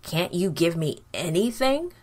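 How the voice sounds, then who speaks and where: A woman's voice: on the low side, very animated, measured pace, darkish tone. A woman voicing a resentful, hot-tempered firstborn son in a children's Bible story, with blunt, brooding delivery. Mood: furious, pleading.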